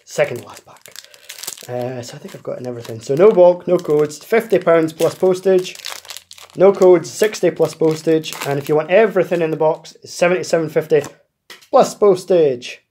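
A man's voice: a little high, moderate pace, warm tone, slight background noise. Chatty man opening card packs. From United Kingdom. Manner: chatty, explains sales details while opening packs. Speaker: card-opening channel host. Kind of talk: casual pack-opening chat. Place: indoors at a table, off camera.

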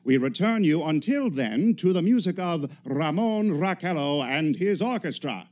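An actor playing a formal, urgent 1930s radio news announcer reading bulletins in a radio play. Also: male voice, fairly high pitch, expressive, unhurried, darkish tone.

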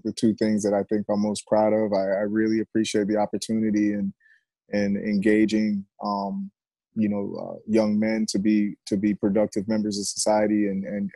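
A middle-aged man, a football coach being interviewed on a video call, speaking reflectively and haltingly with repeated words. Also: lowish voice, dark tone, no echo, poor audio. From Jamaica and United States. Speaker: high school football coach, interview guest. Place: covered outdoor porch.

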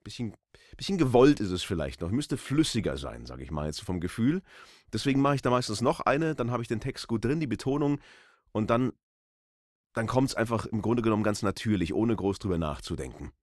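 A man's voice: fairly low pitch, animated, brisk, darkish tone, no room sound. A man, a voice artist giving an explanatory tutorial into a close studio microphone. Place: small voice-over studio booth. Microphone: close studio microphone on a boom arm.

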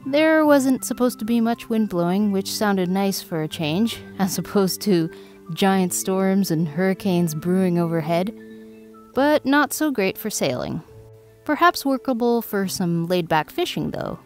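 A woman reading a wry, even voice-over for a sailing vlog. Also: mid-range voice, measured pace, music in the background. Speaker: sailing vlogger narrator. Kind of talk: scripted voice-over narration.

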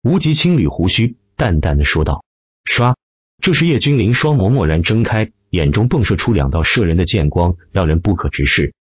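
Male audiobook narrator, animated dramatic delivery, lowish voice, close dry sound with dulled top end. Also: normal speed, breathy edge, dry recording, lo-fi sound. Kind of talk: scripted dramatic narration of fantasy web novel.

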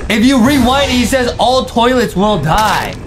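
A young American man hosting a YouTube reaction video, giving excited commentary in a hyped, exclamatory, slangy way. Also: very high voice, moderate pace, noisy background. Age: young adult. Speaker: YouTube host. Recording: music running behind the voice.

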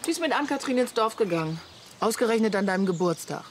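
An actress playing a sensible, dryly teasing wife in a German radio comedy drama. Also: female voice, mid-range voice, expressive, fast, background noise, natural voice.

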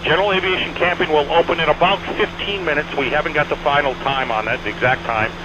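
A man directs arriving planes over aviation radio at a quick pace. His instructions are clipped and repeated, with courteous touches. The sound is narrow and dull, with clear engine noise behind it. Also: on the high side, steady tone. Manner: clipped, repetitive directives with courteous sign-offs. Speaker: air traffic controller at an airshow arrival. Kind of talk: rapid radio traffic instructions. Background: small airplane engine drone.

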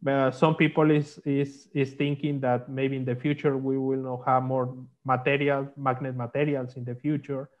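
A man explaining technical slides in halting, on a video call. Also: mid-range voice, flat delivery. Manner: halting, non-native English phrasing. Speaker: guest, magnetics consultant. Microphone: video call microphone. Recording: dull lo-fi online call.

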